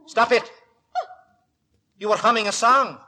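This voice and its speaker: An actor playing a quiet, fanatically pious gentleman lodger in a 1940s radio horror drama, formal and courteous but feverish with scripture. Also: very high-pitched, steady tone, measured pace, full character voice. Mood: feverish outrage. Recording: vintage 1940s radio, music behind.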